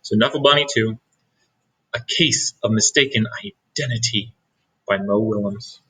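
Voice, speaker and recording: A man reading a picture book aloud as a teacher, pausing for asides to young listeners. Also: fairly low pitch, bright, forceful tone, slightly rough, low-quality recording. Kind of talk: read-aloud storytelling.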